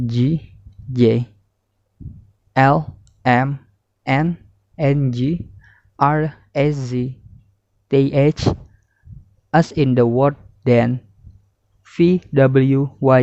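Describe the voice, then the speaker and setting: A young man reading a scripted lesson aloud as a student presenter, in a careful, halting way. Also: mid-range voice, dark tone, no room sound. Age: young adult. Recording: muffled, dull lo-fi audio.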